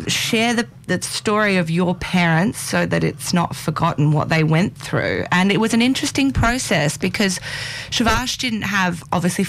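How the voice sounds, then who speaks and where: Female filmmaker, lower pitch, earnest and articulate. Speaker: documentary filmmaker. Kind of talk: interview answers.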